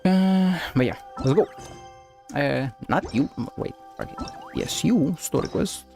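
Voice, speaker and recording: Guy streaming a game, gravelly, pitch jumps around, chatting loose, faint music behind. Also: animated, unhurried, a little mellow. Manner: loose, crude, playful asides. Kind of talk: casual livestream gameplay commentary.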